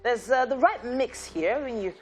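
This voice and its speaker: A woman from Nigeria co-hosting a morning TV show, bubbly and quick to laugh, with light music behind her. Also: fairly high pitch, expressive. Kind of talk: lively breakfast TV chat and news reading. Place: TV studio.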